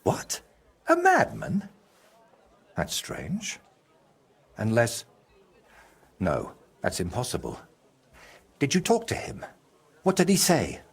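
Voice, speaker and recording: An actor playing a troubled, guilt-ridden medieval painter in a video game, with a husky, halting and brooding delivery. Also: male voice, mid-range voice, wildly swooping pitch, exaggerated voice. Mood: guarded surprise. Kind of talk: video game dramatic dialogue.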